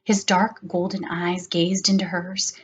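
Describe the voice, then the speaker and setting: A middle-aged woman reading a children's story aloud in an expressive, deliberate way. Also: fairly low pitch. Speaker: storybook session reader.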